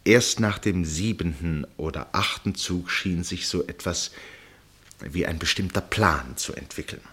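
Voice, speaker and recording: An actor playing an absorbed first-person narrator in a literary radio play, measured and suspenseful, with deliberate pauses and a husky voice. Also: male voice, low-pitched, a bit bright, heavily acted. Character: absorbed male first-person narrator watching a tense match.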